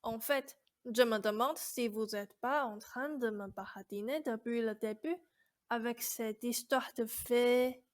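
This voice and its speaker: A woman's voice: fairly high pitch, a little slow, natural voice. A woman acting as a sullen, skeptical young heroine in a fairy-tale radio play, dry, stubborn and guarded. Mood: doubtful. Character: sullen, skeptical young Cinderella who challenges her fairy.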